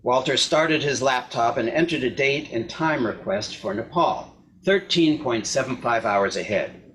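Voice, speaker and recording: A man's voice: medium pitch, measured pace, fairly bright tone, low-quality recording. A man narrating a scripted short story aloud as a reader in a readers theater. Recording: clear background noise, clicks.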